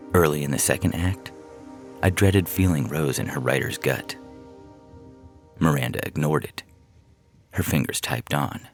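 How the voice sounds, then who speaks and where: Male fiction narrator, extremely low gravelly voice, slow-paced delivery over music. Dramatic short-story read. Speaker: story reader and podcast narrator. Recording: music bed behind the voice.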